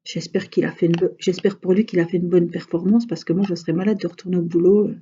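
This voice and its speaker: A woman's voice: on the low side, steady tone, quick pace, warm tone, breathy edge, highs cut off. A woman with a regional Franche-Comté accent chatting casually to her viewers while crafting, rambling with many hesitations. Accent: Franche-Comté French. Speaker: crafting vlogger.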